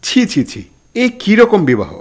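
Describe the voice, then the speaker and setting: A man's voice: high-pitched, wildly swooping pitch, normal speed, full character voice. A man playing a naive, curious young listener who questions and challenges a storyteller in a Bengali audio drama. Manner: inquisitive, challenging, eager.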